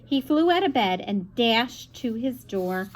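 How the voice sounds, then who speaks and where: Female teacher for story time: high voice, expressive reading, chatty asides, noisy room tone. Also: a little slow. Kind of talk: children's picture book read-aloud with asides.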